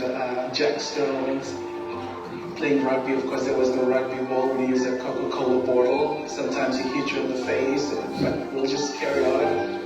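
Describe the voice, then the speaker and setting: A man from Fiji telling his own story in a slow, reflective way, now doing children's ministry work. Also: mid-range voice, lo-fi sound. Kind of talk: personal testimony in a video. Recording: video played over hall speakers, echoey, from far off, with music.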